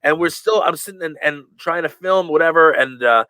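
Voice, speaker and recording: A man being interviewed on a podcast, telling stories in a rambling way, full of fillers and restarts, and acting out quoted lines with lively voices. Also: fairly high pitch, a little fast, clear, resonant tone, dry recording. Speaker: guest, professional wrestler. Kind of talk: casual storytelling in a podcast interview.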